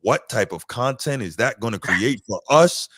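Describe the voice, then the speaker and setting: A man co-hosting a casual sports podcast, making long, measured points. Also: mid-range voice, lively intonation, a bit bright, no room sound. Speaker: podcast co-host.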